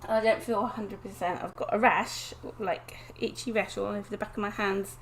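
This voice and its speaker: A woman from England, chatting casually to viewers on a live stream from her desk, croaky and sniffly with a cold, often laughing. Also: mid-range voice, fairly even intonation, moderate pace, a little hiss or hum, low-quality recording. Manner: chatty, giggly, greets viewers by name. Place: home desk indoors.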